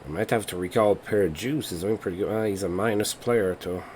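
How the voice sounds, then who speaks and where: Low-voiced man thinking aloud over a game. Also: fairly quick, darkish tone, slight background noise. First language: not English. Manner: thinks aloud while reading game results. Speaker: let's play host. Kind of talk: casual gameplay commentary.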